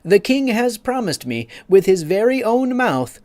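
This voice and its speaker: A man's voice: high-pitched, big pitch swings, a little mellow, dry recording, pitched above the performer's own voice. A man voicing a pompous, easily offended egg creature who lectures and takes offense. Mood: indignant. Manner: haughty, quick to take offense, lecturing.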